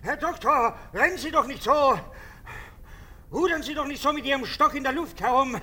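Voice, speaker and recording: A high-pitched, excitable army captain. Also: male voice, normal speed, exaggerated voice. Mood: agitated scolding. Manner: theatrical, swinging from exclamation to insinuating murmur. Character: excitable, sentimental, mocking army captain, pompous and anxious. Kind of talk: German radio drama acting.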